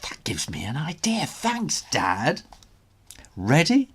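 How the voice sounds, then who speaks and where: A man's voice: a little high, wildly swooping pitch, slow pace, breathy edge, voice pushed up, heavily acted. A man voicing a plucky, eager half-monster boy hero who exclaims his words in a children's story. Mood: excited.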